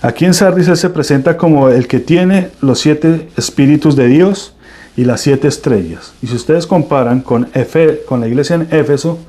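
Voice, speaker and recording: A man with a Colombian accent delivers a sermon, his voice mellow and dark in tone. He preaches with emphatic swells and often restarts his phrases mid-sentence. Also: on the high side, moderate pace. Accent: Colombian Spanish.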